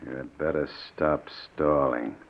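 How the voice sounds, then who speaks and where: A man's voice: very deep voice, fairly even intonation, measured pace, gravelly, full character voice. An actor playing a cold, controlled gunman out for revenge, giving threatening commands in a 1940s radio drama. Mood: impatient, threatening. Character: cold, menacing male gunman bent on revenge. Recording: tinny, faintly noisy old radio recording.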